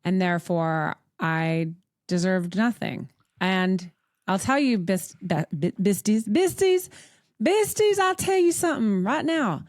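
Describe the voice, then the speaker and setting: A woman's voice: medium pitch, animated, a little slow, a little mellow, no room sound. Blunt Canadian woman, dry close sound. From Montreal, Canada. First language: English. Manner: blunt, sweary, emphatic. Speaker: podcast host. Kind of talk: casual conversational advice talk.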